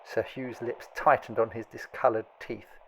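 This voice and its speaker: A man narrating a gothic horror audiobook in a grave, deliberate, theatrical style. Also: mid-range voice, flat delivery, a little hiss or hum, poor audio.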